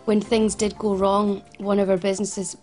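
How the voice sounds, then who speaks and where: A woman with a Glasgow accent tells her own story in an interview, earnest and halting, stumbling over and repeating her words. Her voice is mid-pitched and held level, with little rise and fall. Soft music plays faintly underneath. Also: level tone. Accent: Scottish, Glasgow. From Scotland. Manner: earnest, frequent restarts and repetitions. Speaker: founder of a financial advice service. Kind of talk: personal interview testimony.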